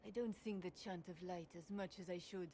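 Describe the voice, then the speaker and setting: A woman's voice: fairly low pitch, fairly even intonation, moderate pace, warm tone, slight background noise, close to an everyday voice. A woman playing an earnest, devout former guard captain in a video game, speaking plainly. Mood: earnest conviction. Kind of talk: scripted video game dialogue.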